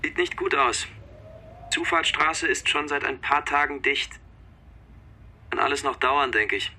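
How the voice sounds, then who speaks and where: An actor playing a practical, matter-of-fact police dispatcher who guides an officer remotely in a radio crime drama. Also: male voice, a little high, measured pace, sharp tone, a little hiss or hum. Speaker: police colleague guiding by radio link. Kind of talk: naturalistic German radio drama acting.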